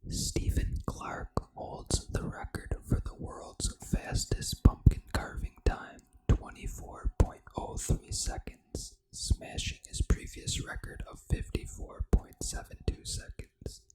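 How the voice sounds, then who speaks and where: A video game ASMR host delivers everything in a hushed whisper. The voice is breathy and fully unvoiced, with no normal speaking tone. The reading is soft, deliberate and unhurried. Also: poor audio.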